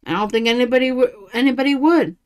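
A woman's voice: on the high side, fast, clean tone. A woman in her thirties giving casual reaction commentary in a blunt, sarcastic and profane way. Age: 30s.

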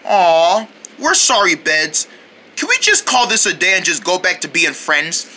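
Lady putting on a young guy cartoon suitor, slangy sweet talker, bright forceful delivery, bit of noise behind. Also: on the low side, sing-song, unhurried, exaggerated voice. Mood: sheepish.

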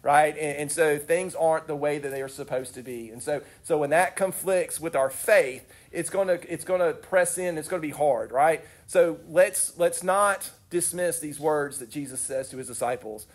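A man's voice: a little high, moderate pace, few pauses. A young adult man with a cold-congested voice, preaching and praying in an earnest, conversational way, often restarting his sentences. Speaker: youth speaker. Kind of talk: sermon with prayer.

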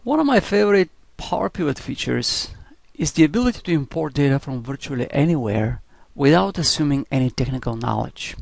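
A man giving step-by-step software instruction in a scripted screencast narration style, as a teacher would. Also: medium pitch, creaky, no echo.

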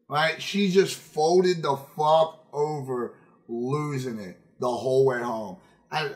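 Male streamer, high-voiced, casual profane storyteller, animated and emphatic, hammering phrases by repetition. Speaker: game streamer. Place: indoor room at a streaming setup.

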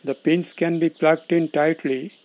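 A male tutorial presenter with a somewhat high-pitched voice reads scripted instructions. He explains things plainly, breaking the narration into short, measured phrases. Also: level tone, a little mellow, faint music behind.

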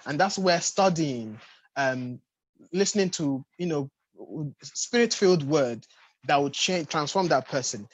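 A man's voice: on the high side, expressive, measured pace, no room sound, rough recording. A man in his thirties on a video call, teaching earnestly off the cuff in a panel discussion and repeating short phrases for emphasis. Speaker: panelist at a church youth congress. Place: home room with bookshelves, video call.

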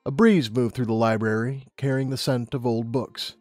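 A man's voice: medium pitch, steady tone, normal speed, darkish tone, no echo, faint music behind. A man reading scripted fiction as an audiobook narrator.

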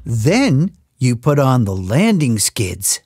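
A woman narrating a children's cartoon in a playful, animated way, with chatty asides to her young listeners. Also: a bass voice, takes it slowly, slightly rough, dry recording. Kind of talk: playful scripted narration for toddlers. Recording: music behind the voice.

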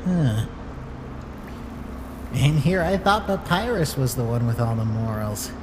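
An irritable, scornful little flower creature played by a woman in a comic dub. The delivery is exasperated and sarcastic, with lively swings in pitch, heard in a long reverb with background noise. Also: a little slow. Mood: skeptical. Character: irritable, scornful talking flower, sharp but secretly worried. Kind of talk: dramatic comic dub voice acting.

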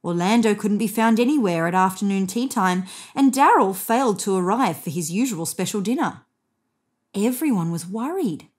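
Woman, thirties, children's storybook narration, expressive read-aloud, highly varied intonation. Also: moderate pace. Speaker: storybook reader. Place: indoors on a bed.

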